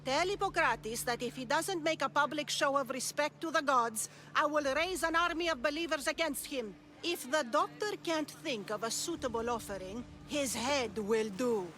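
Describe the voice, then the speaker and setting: High-voiced, imperious priestess villain. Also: female voice, moderate pace, hardly any pauses, fairly bright tone. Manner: pompous, commanding. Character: imperious, menacing Greek priestess, haughty and threatening. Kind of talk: dramatic video game cutscene acting.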